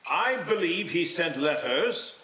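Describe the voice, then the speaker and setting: An actor playing a calm, knowing spirit guide with a measured, formal manner in a live radio-play reading. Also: male voice, on the high side, full character voice. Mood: knowing, instructive. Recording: roomy live hall sound.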